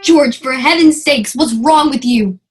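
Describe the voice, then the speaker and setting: Anxious, reproachful young-family mother, played by an actress, high pitch, radio-play delivery with music under. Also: measured pace, breathy edge, heavily acted. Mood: alarmed. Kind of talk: old-time radio play acting.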